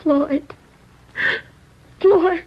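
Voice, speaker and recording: A woman's voice: extremely high pitch, fairly even intonation, extremely slow, exaggerated voice. An actress in 1950s radio drama playing a worried, devoted seamstress in her thirties who talks hesitantly and pleads. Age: 30s. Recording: muffled old radio broadcast with hiss.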